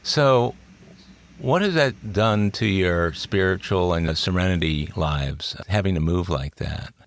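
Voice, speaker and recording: A man's voice: low-pitched, unhurried, slight background noise. A man speaking as a podcast host, delivering introductions and interview questions. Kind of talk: scripted introduction, then conversational interviewing.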